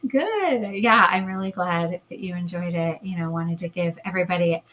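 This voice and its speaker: A woman with a calm, soothing voice, speaking as a hypnotherapist guest in a casual interview over a video call. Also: lowish voice, moderate pace.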